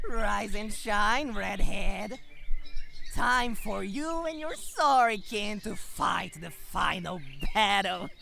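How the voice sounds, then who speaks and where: A woman voicing a sneering, menacing jailer with drawn-out taunts in a theatrical manga dub. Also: medium pitch, lively intonation, vocal fry, exaggerated voice. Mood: mocking, menacing. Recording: noisy, with birds chirping.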